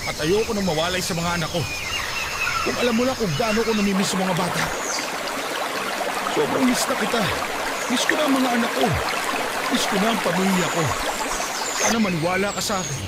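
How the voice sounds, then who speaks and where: Actor as high-pitched, fast-talking remorseful husband. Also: male voice, very noisy, lightly acted. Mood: pleading, remorseful. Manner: emotional, imploring delivery. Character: remorseful, pleading cheating husband and father. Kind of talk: Filipino radio drama acting. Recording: loud music under the voice.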